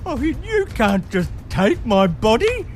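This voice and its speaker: A man's voice: very high voice, wildly swooping pitch, a little slow, soft background music, full character voice. A man playing a naive, frightened patchwork creation in an audio drama, hesitant and protesting.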